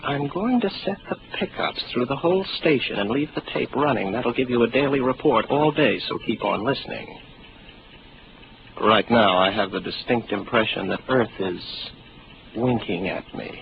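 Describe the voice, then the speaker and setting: An actor playing a lonely, sardonic young space officer in a 1950s radio drama, talking in a dry, rambling way. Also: male voice, medium pitch, expressive, normal speed, vocal fry. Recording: dull old 1950s radio broadcast with hiss.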